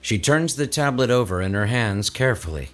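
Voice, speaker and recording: A man's voice: mid-range voice, lively intonation, fairly quick. A man giving dramatic scripted narration for a horror audio drama. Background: music under the voice.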